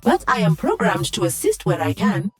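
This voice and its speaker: A woman's voice: mid-range voice, huge pitch swings, moderate pace, slightly rough. A woman voicing an earnest, polite female guardian robot in a video game, speaking formally and precisely through a robotic filter.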